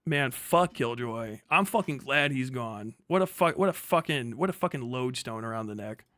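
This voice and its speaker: A man's voice: medium pitch, expressive, moderate pace, fairly bright tone, no echo, studio quality. A man hosting a livestream, ranting vehemently with heavy swearing as he reacts to and reads out messages. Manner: profane, agitated, vehement. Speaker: livestream host. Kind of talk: livestream reaction rant, reading messages aloud.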